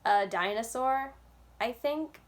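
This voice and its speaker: A woman's voice: on the high side, slow, pitched above the performer's own voice. A woman voicing an earnest, plainspoken, somewhat weary young boy hero in a children's storybook.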